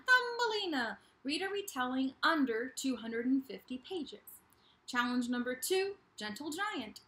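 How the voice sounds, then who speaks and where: A young woman hosting a casual vlog to camera, talking in a bubbly, playful, animated way in a small room. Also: sits high. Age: young adult. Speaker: YouTube host of a book channel. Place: small bedroom indoors. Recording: echoey untreated room.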